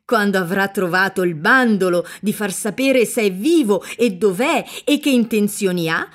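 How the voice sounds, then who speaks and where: A woman voicing an anxious, loving older peasant mother who talks earnestly and at length. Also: on the high side. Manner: earnest, fussing, talkative. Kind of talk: audiobook character reading.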